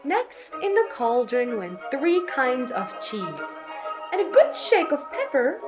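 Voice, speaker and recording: A woman storyteller with a very high, lively voice reads rhyming verse for children. She takes her time, dramatizing and stretching key words, with soft music behind her. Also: takes it slowly. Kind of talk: expressive read-aloud storytelling of rhyming picture book.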